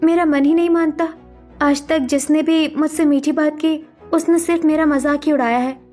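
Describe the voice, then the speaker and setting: A woman's voice: high-pitched, steady tone, moderate pace, darkish tone, faint music behind, natural voice. A woman voicing a hurt, self-doubting young sister-in-law in a dramatic cartoon story, with a plaintive, defensive manner. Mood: torn, doubting.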